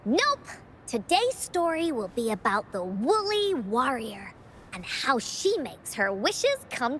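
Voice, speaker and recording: A woman's voice: high voice, fairly bright tone, slight background noise, exaggerated voice. A woman playing a cheerful little girl who tells adventure stories theatrically in a video game. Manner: theatrical, cheerful, childlike storytelling. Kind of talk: video game storybook narration.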